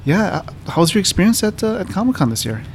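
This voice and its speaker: A man's voice: sits high, sing-song, fast. A man hosting a podcast, introducing guests casually with frequent uhs and ums. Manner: hesitant, many uhs and ums. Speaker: podcast host. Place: comics shop cafe. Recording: faint ambient noise in a cafe.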